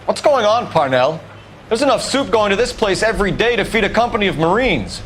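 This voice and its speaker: A man's voice: high voice, sing-song, brisk, fairly bright tone, slight background noise. An actor playing a stern, pressing, sardonic male police detective in a video game. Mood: accusing. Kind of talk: acted video game dialogue.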